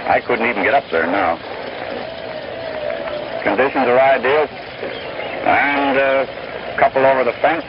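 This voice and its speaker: American ballgame announcer on muffled, noisy old radio. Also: male voice, medium pitch, measured pace, pauses often. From United States. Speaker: baseball radio commentator. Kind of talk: old-time radio sports play-by-play commentary. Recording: noisy, muffled 1930s-1940s radio recording.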